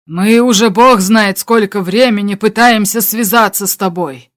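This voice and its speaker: An audiobook figure of a male spaceship crewmate, acted by a woman, talking over the radio at a middling pitch. He is concerned but steady, giving earnest and urgent advice. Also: measured pace, natural voice. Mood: anxious. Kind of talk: audiobook character dialogue.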